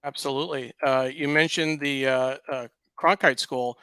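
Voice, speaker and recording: A man's voice: medium pitch, steady tone, moderate pace, bright, forceful tone, clear, resonant tone, dry recording. A man hosting an online interview, hesitant and heavy on uhs and ums. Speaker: show host. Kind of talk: casual interview chat.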